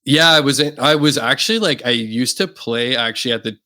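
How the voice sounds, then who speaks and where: A man's voice: medium pitch, fairly quick. A young man, a musician guest on a podcast, chatting casually and loosely with lots of fillers. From Canada. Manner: relaxed, rambling with many fillers. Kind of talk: casual interview chat.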